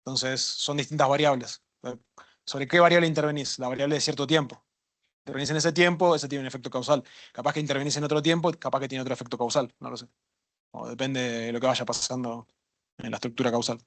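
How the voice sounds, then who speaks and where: A man answering questions at a workshop, relaxed and thinking aloud with small jokes. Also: mid-range voice, fast talker. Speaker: workshop instructor. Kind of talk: casual lecture Q&A.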